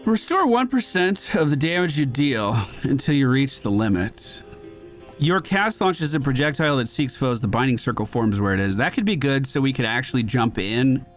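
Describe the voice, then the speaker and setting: A man's voice: mid-range voice, animated, moderate pace. A man streaming a game, giving casual, slangy commentary as he thinks aloud. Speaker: gaming streamer. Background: game music.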